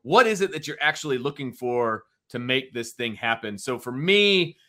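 A man's voice: medium pitch, big pitch swings, normal speed, bright, forceful tone. A man co-hosting a sports talk show, chatting casually with emphatic opinions.

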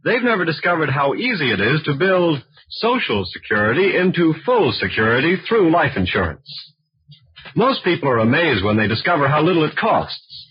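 A man announcing and pitching insurance in scripted 1940s radio advertising style, emphatic and persuasive. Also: sing-song, normal speed, breathy edge. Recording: muffled vintage radio recording.

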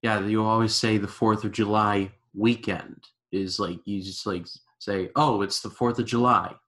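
Guy with a lowish voice on a podcast, keeps saying like, really into it. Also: fairly even intonation, normal speed, fairly bright tone. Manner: enthusiastic, repeats himself, many "like" fillers. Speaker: podcast guest. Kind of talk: casual podcast chat.

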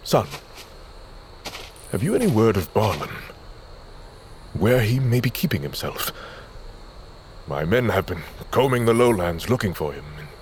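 Stern royal patriarch, older, low-pitched, formal, commanding; fantasy audio fiction, faint ambience behind. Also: male voice, sing-song, measured pace, long pauses, slight background noise. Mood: grave, concerned. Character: stern, authoritative royal father of grown sons. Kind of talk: fantasy audio drama acting.

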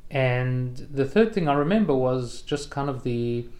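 A man of about fifty with a South African accent, reflecting as an interview guest and pausing often mid-thought. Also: medium pitch, lively intonation, a little mellow. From South Africa, born in Israel. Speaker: podcast guest, investor.

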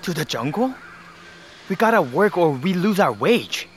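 An actor playing a blunt, worried miner arguing as a son in an audio drama, colloquial and exasperated. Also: male voice, sits high, big pitch swings, unhurried, a little hiss or hum.

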